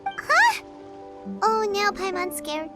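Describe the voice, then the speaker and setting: A tiny chirpy fairy companion from a video game. The voice is extremely high and childlike, swooping in pitch, with blunt, excitable delivery over clear background music. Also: female voice, takes it slowly, full character voice. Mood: timid, then curious. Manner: speaks of herself in third person, blunt, excitable. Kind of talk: video game character acting.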